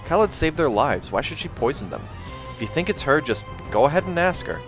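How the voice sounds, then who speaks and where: A man's voice: fairly high pitch, big pitch swings, a little fast, over music, voice pushed up. A man voicing an earnest, loyal young man in a fantasy storybook, plain-spoken and insistent. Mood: impatient.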